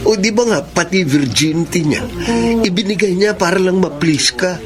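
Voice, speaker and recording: A man's voice: high voice, moderate pace, lightly acted. An actor playing a young man, a questioning friend with a conscience, in a radio drama. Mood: probing, reproachful. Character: young Filipino male buddy, questioning his friend's conscience. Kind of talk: Tagalog radio drama acting. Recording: music bed under the voice.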